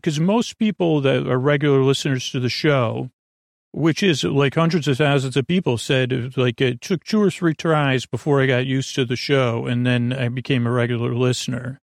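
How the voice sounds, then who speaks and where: A man with a creaky voice hosting a sleep podcast, giving a soothing, rambling monologue that hesitates and drifts into tangents. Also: medium pitch, moderate pace, no room sound, clean studio sound. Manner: meandering, hesitant, trailing off into tangents. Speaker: podcast host.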